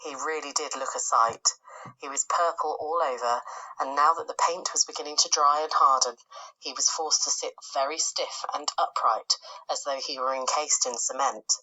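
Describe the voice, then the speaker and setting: A woman from England with an English accent, reading a children's story as an expressive narrator. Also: low voice, normal speed, muffled recording. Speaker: storybook reader.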